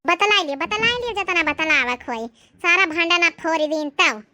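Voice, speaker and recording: Woman voicing shrill, bright Awadhi village housewife. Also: lively intonation, normal speed, no echo, exaggerated voice. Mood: scandalized. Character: dramatic, aggrieved village housewives in a comedy cartoon. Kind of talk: cartoon comedy dialogue.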